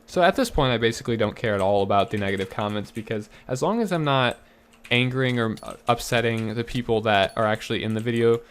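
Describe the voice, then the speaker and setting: A young man talks into a desk mic in casual gameplay commentary, his voice a little raspy and his pitch sitting in the middle. He explains himself earnestly, with fillers and restarts, and keyboard typing ticks faintly behind him. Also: fast talker, fairly bright tone. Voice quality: slightly raspy. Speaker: gaming YouTuber.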